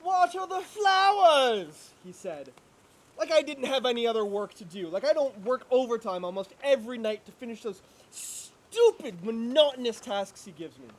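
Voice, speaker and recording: Man playing an anxious, high-voiced, exasperated twin brother. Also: lively intonation, moderate pace. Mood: mocking, resentful. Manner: indignant, sarcastic. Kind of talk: amateur dramatic script reading.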